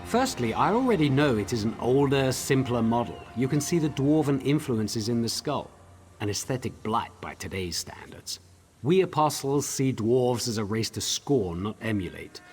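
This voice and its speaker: An actor playing an eager, articulate tinkerer-scholar in a fantasy video game, speaking theatrically. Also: male voice, mid-range voice, very animated, moderate pace, darkish tone, soft background music, lightly acted. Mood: lecturing. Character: eager male tinkerer-scholar, enthusiastic about his research.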